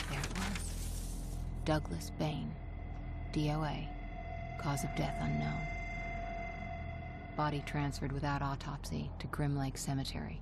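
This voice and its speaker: An actress playing a tough, weary lone survivor, narrating a zombie video game in a grim, measured way. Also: female voice, low-pitched, creaky. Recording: loud music under the voice. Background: loud music.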